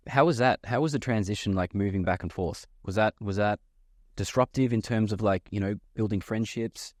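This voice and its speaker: A man's voice: fairly low pitch, no echo, clean studio sound. A man hosting a podcast interview, asking friendly questions that he often restarts partway through. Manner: friendly, halting, repeats words while asking questions. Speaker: podcast host.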